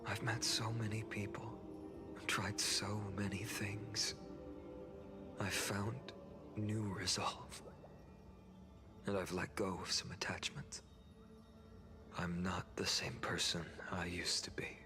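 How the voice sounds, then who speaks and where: A man voicing an earnest, resolute young hero in a dramatic video game, with measured, gentle delivery. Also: lowish voice, level tone. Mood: reflective. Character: earnest, resolute young male hero bearing quiet burdens. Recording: loud music over the voice.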